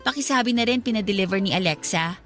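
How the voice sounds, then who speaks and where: A woman playing a brisk, businesslike shop customer in a Filipino radio-style drama, dropping in casual English. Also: mid-range voice, lively intonation, a bit bright, soft background music, lightly acted.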